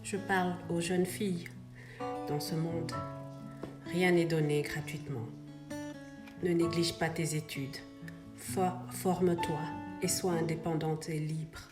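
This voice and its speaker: A woman whose first language is Swahili talks earnestly to her viewers in a somewhat low voice. She moves back and forth between English and French. The room echoes, and clear music plays beneath her. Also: steady tone, slow pace, long pauses. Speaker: vlog host. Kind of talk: inspirational bilingual monologue.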